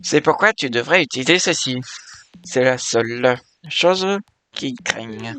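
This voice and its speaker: A man's voice: mid-range voice, lively intonation, pushed and bright. A young man reading as an old eccentric ghost scientist. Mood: instructive. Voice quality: halting reading of on-screen dialogue. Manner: reads the lines in a put-on accent. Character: elderly eccentric male ghost-hunting scientist. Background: game music.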